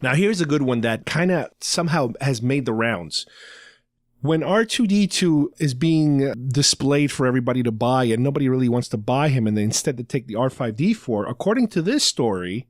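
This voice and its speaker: A man's voice: medium pitch, expressive, normal speed, no room sound, clean studio sound. A man hosting a podcast, casually retelling a story in a chatty fan discussion style. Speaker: podcast host.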